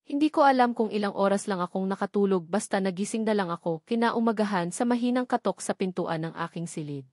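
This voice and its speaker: A Filipino woman reads a romance story as an audiobook narrator. Her voice sits at an average female pitch. The sound is dry and close, with very little room. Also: quick pace, darkish tone, dry recording. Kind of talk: scripted first-person romance novel narration.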